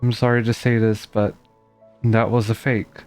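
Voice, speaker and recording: A man streaming a game, giving casual, low-key short reactions. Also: flat delivery, normal speed, darkish tone, faint music behind.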